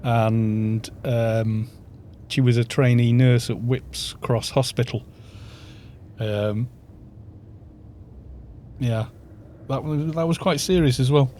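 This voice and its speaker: A man's voice: mid-range voice, steady tone, takes it slowly, pauses often, dark tone, slight background noise. A man in his fifties from North Wales with a North Welsh accent, chatting casually and rambling while he leads a game group on a live stream. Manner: chatty, rambling, chuckles often, reads chat aloud. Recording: faint background music.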